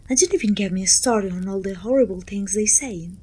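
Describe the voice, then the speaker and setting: A woman playing a pompous, self-pitying evil queen who talks down to her servant in an intimate, breathy ASMR roleplay, often dropping toward a whisper. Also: medium pitch, a little fast, dark tone, close to an everyday voice. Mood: exasperated. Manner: pompous, petulant, theatrical sighing complaints.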